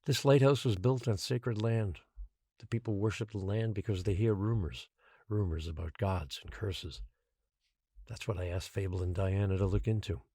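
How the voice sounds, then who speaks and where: An actor playing a patient, controlling guardian who secretly rules an island, speaking in a measured, quietly menacing way in an audio drama. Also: male voice, lowish voice, slightly rough, dry recording. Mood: solemn, revealing.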